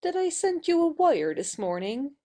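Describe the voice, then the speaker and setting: An actress playing an imperious but warm-hearted young lady of the house, bossy and emphatic, in a satirical audio play. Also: high voice, sing-song, moderate pace. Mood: friendly.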